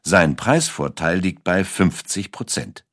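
A man's voice: deep voice, expressive, slow pace, fairly bright tone, no echo, clean studio sound. A man reading a scripted documentary narration as its narrator.

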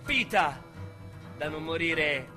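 An actor with a Roman accent playing a young, boastful, foul-mouthed street criminal in a radio drama monologue, with coarse slang and a swaggering delivery. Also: male voice, on the high side, lively intonation, slow pace, pushed and bright, soft background music, heavily acted. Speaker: narrator and lead character.

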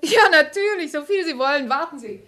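A woman's voice: sits high, expressive, fairly quick, sharp tone. An actress playing a chatty, hospitable neighbour wife in a radio crime drama, obliging and mildly exasperated with her husband. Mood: friendly, obliging. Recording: roomy, noisy old radio recording.

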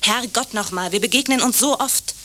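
A woman's voice: on the high side, normal speed, fairly bright tone, lightly acted. A woman playing an anxious, clinging, lovestruck landlady in a naturalistic radio drama, insistent and repeating her short phrases. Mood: anxious, pleading.

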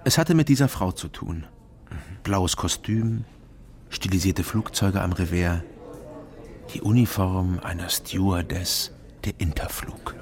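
An actor playing a sober, wry private detective who narrates a crime radio drama in a laconic, dry manner. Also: male voice, low-pitched, a little slow, a little mellow. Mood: dry, reflective. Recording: music under the voice.

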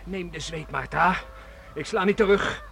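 A man's voice: high-pitched, unhurried, creaky. An actor playing a stubborn, principled sixteen-year-old son in a radio drama, earnest in his way of speaking. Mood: earnest defiance. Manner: earnest, hesitant yet defiant. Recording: faint background noise on old radio recording.

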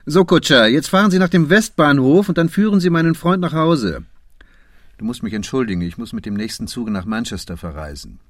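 An actor playing a shrewd, confident gentleman detective in a German radio play, with a brisk, superior, lecturing manner. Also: medium pitch, lively intonation, no room sound, studio quality, close to an everyday voice. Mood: brisk, commanding. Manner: self-assured, superior, didactic.

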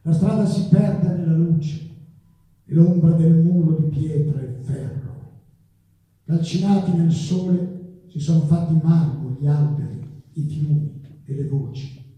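A man's voice: on the high side, a little slow. A man reciting poetry on stage in a solemn, declamatory, theatrical manner. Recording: distant, echoey, dull live stage sound.

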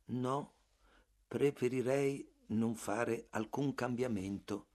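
Meek, passive clerk, read by a man: soft, slow, flat, unmoved. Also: mid-range voice. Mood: calm, stubborn. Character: meek quiet male clerk, passive and stubborn.

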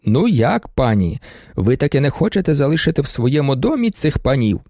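A man's voice: medium pitch, sing-song, brisk, mellow, no room sound, studio quality. A man reading an audiobook, voicing a stern middle-aged judge questioning the parties.

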